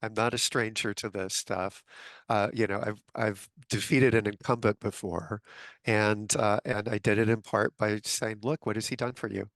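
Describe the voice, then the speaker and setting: A man hosting an online interview, asking relaxed questions padded with fillers. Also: on the low side, moderate pace, no room sound. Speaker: interview host. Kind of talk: conversational interview.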